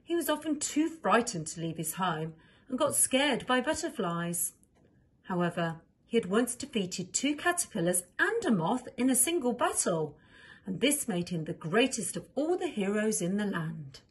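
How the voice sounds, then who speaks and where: A woman narrating a children's storybook as a reader, with expressive delivery. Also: medium pitch, moderate pace, slightly rough.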